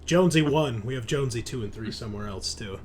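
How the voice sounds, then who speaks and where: A man's voice: medium pitch, lively intonation, quick pace, noisy background. A man narrating a tabletop horror roleplaying game as game master, descriptive and conversational, with some swearing.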